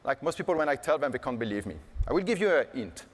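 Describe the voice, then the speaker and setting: A man's voice: mid-range voice, sing-song, quick pace. A man giving a casual, joking conference keynote on stage, full of fillers like "like" and "uh". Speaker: keynote speaker. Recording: long hall reverb.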